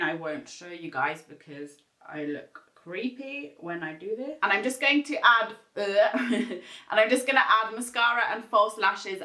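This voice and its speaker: A woman's voice: medium pitch, bright, forceful tone, roomy sound. A woman vlogger talking casually through a makeup tutorial, chatty and rambling with lots of filler words. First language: English. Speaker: beauty vlogger.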